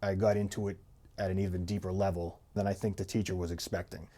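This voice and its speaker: A man speaks in a very deep, warm voice. He is a former chef turned student who tells his story in a plain, thoughtful way. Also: flat delivery, fast talker.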